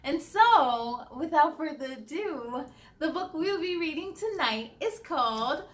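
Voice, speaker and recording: A woman with a high voice hosts story time for children. She takes her time, reading picture-book lines with lots of expression and speaking directly to her young audience in a sing-song way. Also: expressive, unhurried.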